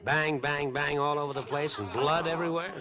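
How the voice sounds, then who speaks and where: An actor playing a smooth, eccentric young man obsessed with murder in a 1950s radio drama, with a glib, insinuating charm. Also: fairly high pitch, brisk, a crowd in the background, lightly acted. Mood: sly, insinuating. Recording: vintage 1950s radio broadcast with music.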